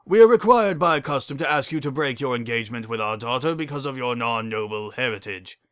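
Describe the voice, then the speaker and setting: A man's voice: mid-range voice, expressive, fairly quick, a bit bright, smooth, close to an everyday voice. A man voicing a formal, courteous noble unicorn father in a stately manner.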